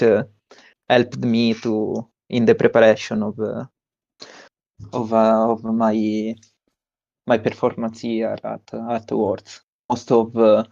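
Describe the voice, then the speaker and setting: A young man with an Italian accent, a tournament winner giving a halting interview with many fillers and restarts. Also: fairly low pitch, vocal fry, darkish tone, no echo, highs cut off. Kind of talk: post-win stream interview.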